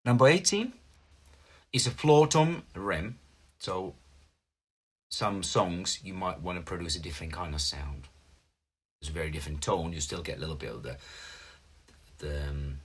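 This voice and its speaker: Deep-voiced Finnish man explaining drum notation. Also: normal speed, long pauses, husky. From Finland. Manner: plain, patient step-by-step explaining with small grammar slips. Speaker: drum teacher. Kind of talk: instructional explanation.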